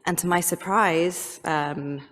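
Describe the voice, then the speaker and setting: A woman photojournalist with an English accent, introducing herself on a panel in a measured way with frequent ums. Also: lowish voice, fairly even intonation, a bit bright. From England. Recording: long hall reverb.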